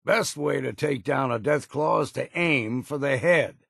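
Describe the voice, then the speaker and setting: Gravelly old American wasteland hunter. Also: male voice, mid-range voice, measured pace, a bit bright, no echo, clean studio sound, exaggerated voice. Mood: wry, seasoned. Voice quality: gravelly, husky. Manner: folksy, plain-spoken, blunt. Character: grizzled old wasteland hunter, gruff, wry, world-weary. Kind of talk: video game character voice lines.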